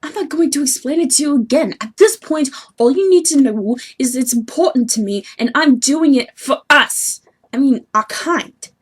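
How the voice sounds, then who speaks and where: A woman's voice: high voice, expressive, normal speed. A woman voicing a haughty young male sea troll who schemes and sulks, pompous and petulant, in amateur webcomic acting. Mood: defensive. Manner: pompous, petulant, self-pitying.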